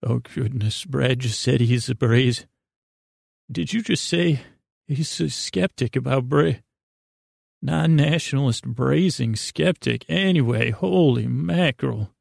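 A man hosting a bedtime podcast, talking in a meandering, halting, silly way with frequent repeats and a creaky voice. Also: mid-range voice, no echo, clean studio sound. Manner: silly, playful banter with an imaginary guest. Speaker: podcast host. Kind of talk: rambling bedtime podcast monologue.

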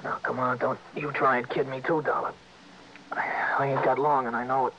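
An actor playing a dying American safecracker in his early thirties in a radio drama, speaking in a halting, weary, uneducated tough-guy way. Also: mid-range voice, flat delivery, a bit bright, muffled recording, a little hiss or hum, natural voice. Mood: bitter, weary. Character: dying early-thirties convict safecracker, bitter but grateful. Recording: band-limited vintage radio recording with music.

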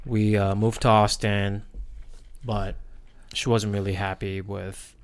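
A man with a Korean accent and native Korean, telling personal stories casually in a halting, reflective way, searching for words. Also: fairly low pitch, flat delivery, smooth. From South Korea. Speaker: podcast host.

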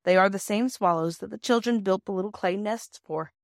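Woman reading a story aloud, measured n expressive, middle pitch, totally dry audio. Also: dry recording. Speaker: audiobook narrator. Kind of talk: scripted storybook narration.

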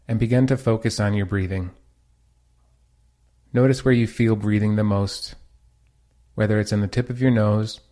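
Low-pitched man guiding a meditation. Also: dark tone. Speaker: meditation teacher. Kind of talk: guided meditation narration.

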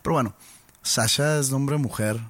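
A man's voice: medium pitch, moderate pace, fairly bright tone, dry recording. A man with a Mexican accent co-hosting a casual podcast, telling rambling stories and joking. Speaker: podcast co-host. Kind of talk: casual chat.

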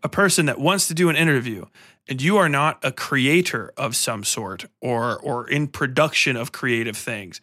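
A man's voice: medium pitch, moderate pace, sharp tone, no echo, studio quality. A man hosting a podcast, speaking casually and irreverently in a loose, rambling, sweary style. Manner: irreverent, profane, informal. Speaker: podcast host.

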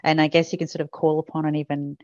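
A low-pitched woman hosting a podcast chat. Her voice is notably deep for a woman, and the sound is dry and a little dull and lo-fi. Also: little pitch movement, fast talker, darkish tone, no echo. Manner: supportive, frequent backchannel replies. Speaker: podcast host. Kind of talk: casual interview.

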